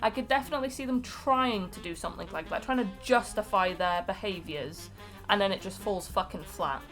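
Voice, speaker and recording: A woman chats casually as a co-host. She is blunt and sweary, with a mid-range pitch. Quiet music runs underneath. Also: a little fast, low-quality recording. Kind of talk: casual chat.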